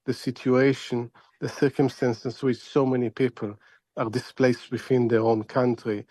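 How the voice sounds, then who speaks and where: Guy doing analyst interview, keeps repeating words while thinking, medium pitch, no room echo. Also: warm tone, slightly rough. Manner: halting, repeats words as he searches for phrasing. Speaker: foreign affairs analyst, interview guest. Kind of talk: live interview commentary.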